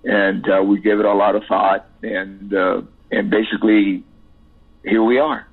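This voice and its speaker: A man in his sixties with a Cuban accent, interviewed as a musician, speaking haltingly with many repeated words and "you know" fillers. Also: fairly low pitch, flat delivery, husky. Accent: Cuban Spanish. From Cuba. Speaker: musician guest. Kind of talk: interview over the phone. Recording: tinny phone line.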